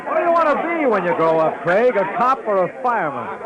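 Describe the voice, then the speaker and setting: Muffled old radio, crowd behind, high-voiced American cop, lieutenant, sardonic, blunt, slangy. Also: male voice, big pitch swings, a little fast. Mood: sardonic, offhand. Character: sardonic, blunt city police lieutenant, a man. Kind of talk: 1950s radio crime drama acting.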